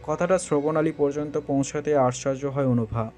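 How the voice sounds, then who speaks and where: A man's voice: a little high, a little fast, darkish tone, faint music behind. A man reading scripted narration for a romantic audio story.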